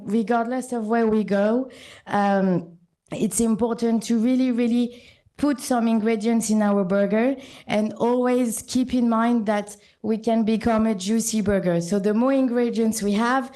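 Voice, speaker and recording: A woman with a French accent giving a short conference talk to a live audience, often pausing with ums and restarting sentences. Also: on the high side, dark tone. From born in Sri Lanka, French and Italian parents. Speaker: conference speaker.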